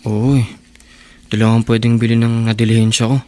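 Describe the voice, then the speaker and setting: A Filipino actor playing a devoted, proud teenage runaway husband in a radio drama, speaking earnestly. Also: male voice, medium pitch, monotone, fairly quick, warm tone, close to an everyday voice. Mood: tender, apologetic. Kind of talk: Tagalog radio drama acting.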